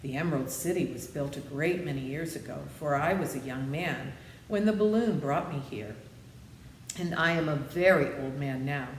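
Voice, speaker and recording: A woman's voice: low voice, moderate pace, reverberant, slight background noise, natural voice, rough recording. A woman voicing an old humbug wizard in a storybook, meek and apologetic, explaining things kindly. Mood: confiding, explanatory.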